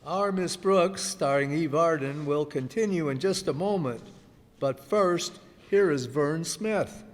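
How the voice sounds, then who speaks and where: Echoey hall, male radio announcer, fairly high voice, slow formal emphatic reading, vintage style. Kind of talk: 1940s radio announcing, scripted. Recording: very echoey hall stage recording.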